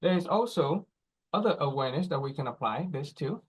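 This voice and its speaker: A man in his forties giving a teaching talk, explaining slowly in short phrases and checking in with "right?" and "okay?". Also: on the high side, lively intonation, breathy edge. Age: 40s. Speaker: speaker.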